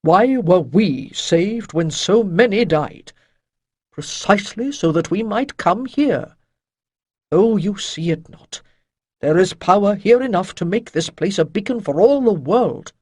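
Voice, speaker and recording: Reader doing a calm wizardly man, solemn and formal, old-fashioned wording, dry sound. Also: mid-range voice, no echo. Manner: formal, measured, archaic phrasing. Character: calm, lofty male mage under strain.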